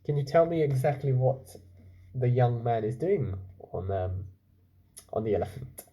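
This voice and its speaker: A man reading a story aloud to children like a teacher, expressively, pausing to ask them questions. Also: medium pitch, soft background music. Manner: expressive, pauses to question listeners. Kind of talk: storytelling read-aloud with asides and questions to young listeners.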